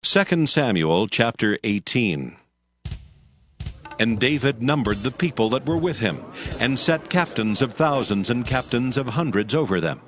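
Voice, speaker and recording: A man narrating a dramatized scripture audiobook in a solemn, deliberate storytelling manner. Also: medium pitch, animated, a bit bright. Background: music.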